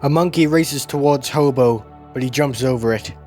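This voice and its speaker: A man's voice: mid-range voice, moderate pace, a little mellow. A man narrating a video essay in a scripted, descriptive storytelling style. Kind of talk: scripted narration, recapping an animated series. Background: music.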